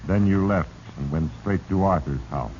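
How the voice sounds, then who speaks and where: A man playing Fate, a sinister all-knowing narrator in a 1940s radio drama, with a deliberate, accusing manner. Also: deep voice, natural voice. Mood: ominous. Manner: deliberate, heavy-handed, accusing. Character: Fate, sinister omniscient male narrator manipulating doomed men. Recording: muffled, noisy 1940s radio recording.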